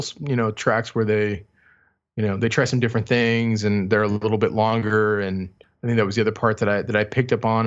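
A man's voice: fairly low pitch, highs cut off. A man co-hosting a podcast, giving a reflective review of music in casual chat as he feels his way through his thoughts. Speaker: podcast co-host.

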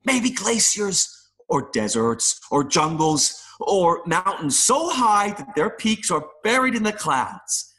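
Man voicing a slow-talking, gentle, wistful mayfly. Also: sits high, very animated, exaggerated voice. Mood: uplifting encouragement. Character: gentle, wistful mayfly longing to see the world. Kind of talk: audio play acting.

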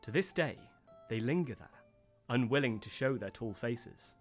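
A performer playing a storyteller who recounts an old legend in a measured, earnest way. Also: mid-range voice, expressive, soft background music, natural voice. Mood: absorbed storytelling. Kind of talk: dramatic storytelling.

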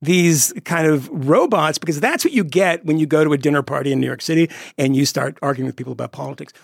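Gravelly-voiced man riffing on politics. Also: on the high side, dry recording, studio quality. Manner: quick, digressive, jokey, slips into put-on voices. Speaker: podcast co-host. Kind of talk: casual political chat.

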